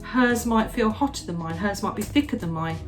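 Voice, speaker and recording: A woman's voice: mid-range voice, lively intonation, a little fast, faint music behind. A middle-aged woman chatting casually while she bakes, rambling with many restarts and "you know"s. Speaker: home baker, the host's mother. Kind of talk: casual chat while baking.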